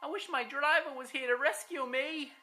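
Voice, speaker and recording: Woman doing a terrified squeaky little engine. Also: normal speed, sharp tone, echoey room, faint music behind. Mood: pleading. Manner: frightened pleading, shrill cries. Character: terrified small male steam engine.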